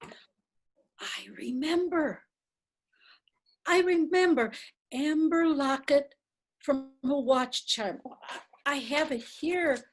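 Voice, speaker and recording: A woman reading Agnes, gentle and slow. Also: high voice. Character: quiet domestic woman, loyal old friend. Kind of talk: readers theatre play reading.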